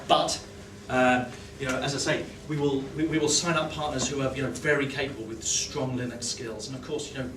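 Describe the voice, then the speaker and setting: A man's voice: mid-range voice, steady tone, a little fast, few pauses, noisy background. A man giving a conference talk, often restarting his sentences and adding fillers. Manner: hesitant, many restarts and fillers. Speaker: conference speaker. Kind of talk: presenting a talk. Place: large conference hall. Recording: roomy hall sound from a distance.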